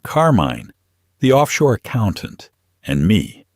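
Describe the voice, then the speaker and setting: Man playing a deep, commanding mafia boss. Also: huge pitch swings, takes it slowly, no room sound, clean studio sound, full character voice. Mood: intense, possessive. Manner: terse, low, controlled.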